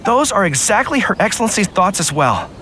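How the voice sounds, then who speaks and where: A man's voice: sits high, very animated, brisk, pushed and bright, slight background noise, heavily acted. A man playing a loyal, polite young general in a video game, speaking earnestly and formally.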